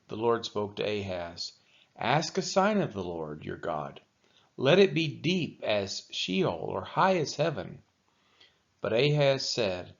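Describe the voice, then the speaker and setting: The recording is a little dull and lo-fi. A man reads scripture aloud in a solemn, measured way. His mid-pitched voice moves up and down with lively intonation as he shapes each phrase deliberately. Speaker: scripture reader for a church read-along. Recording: dull, lo-fi sound.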